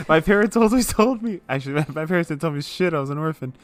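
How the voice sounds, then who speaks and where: Higher-voiced man, jokey tabletop player. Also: expressive, quick pace, no echo. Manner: jokey, informal. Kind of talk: casual in-character game chat.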